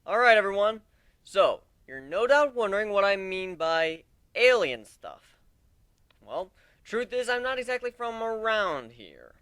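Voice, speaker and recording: A man voicing a brash, cocky young griffin captain in a dramatic story reading, with a sarcastic, excitable manner. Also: high voice, a little slow, smooth, dry recording, voice pushed up, heavily acted. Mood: announcing.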